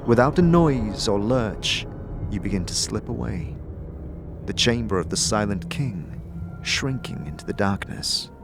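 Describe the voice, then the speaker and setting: A man narrating an audio drama in the second person in a measured, dramatic storytelling manner. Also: fairly low pitch, animated, vocal fry, music in the background.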